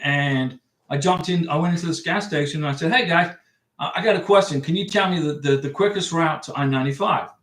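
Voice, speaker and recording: A man's voice: fairly high pitch, brisk, fairly bright tone, roomy sound. A man hosting a livestream, chatting casually and rambling as he reads viewer comments and answers them. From United States. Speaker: livestream host giving visa advice. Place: home room.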